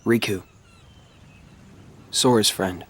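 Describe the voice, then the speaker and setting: An actor playing a brooding, quietly kind young man in a video game, terse and reserved. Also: fairly low pitch, slow, slightly rough. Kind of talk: video game cutscene voice acting.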